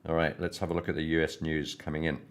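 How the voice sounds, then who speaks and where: A hoarse man hosting a trading livestream, greeting viewers by name in a friendly, rambling way before going through market news. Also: rumbling low, little pitch movement, fast. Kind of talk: casual live-stream chat and market commentary.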